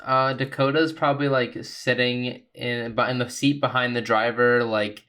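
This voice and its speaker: Mid-pitched man as a casual tabletop player. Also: fairly even intonation, moderate pace, bright, forceful tone, clear, resonant tone. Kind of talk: casual in-game narration.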